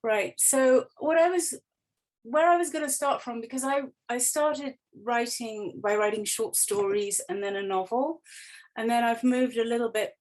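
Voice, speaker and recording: A woman's voice: a little high, moderate pace, a little mellow. A woman writer presenting online in an informal, explanatory way, with conversational and loosely structured phrasing. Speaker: writer giving a talk. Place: online video call.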